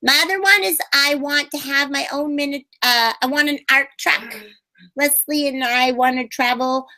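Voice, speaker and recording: A woman's voice: high-pitched, fairly even intonation, normal speed, sharp tone, low-quality recording. A woman voicing a bubbly, chatty young puppet girl in a sunny, sing-song manner during an interview. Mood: cheerful.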